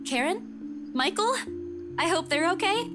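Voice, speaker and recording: A woman playing an eager, dutiful young daughter in visual novel dialogue, with an animated, reassuring manner. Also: very high-pitched, a little slow. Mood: cheerful, caring. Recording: loud guitar music behind. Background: guitar music.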